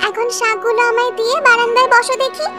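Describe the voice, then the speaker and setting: A woman's voice: extremely high pitch, little pitch movement, quick pace. A woman voicing a caring, dutiful young village girl in a cartoon, with a childlike, earnest manner. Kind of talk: Bengali cartoon voice acting. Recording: loud music behind the voice. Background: music.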